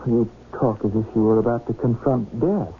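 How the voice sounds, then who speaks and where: An actor playing an earnest, polite young doctor in an old-time radio drama. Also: male voice, medium pitch, normal speed, lightly acted. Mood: concerned, polite. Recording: lo-fi radio broadcast, faint noise.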